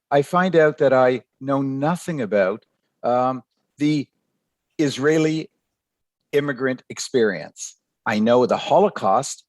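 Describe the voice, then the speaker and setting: An older man from Canada, a professor answering interview questions by telling stories, with halting, repeated words. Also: medium pitch, no echo.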